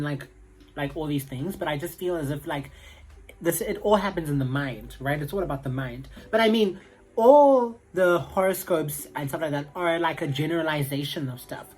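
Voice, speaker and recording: A man's voice: a little high, a little mellow, slightly rough, rough recording. A young man with a South African accent, chatting casually to camera in a rambling way full of fillers. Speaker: vlogger.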